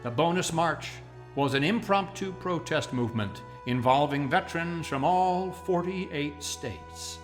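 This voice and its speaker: Slightly high-voiced man narrating over music. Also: a little slow. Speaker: narrator and announcer. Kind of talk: scripted radio play narration and credits.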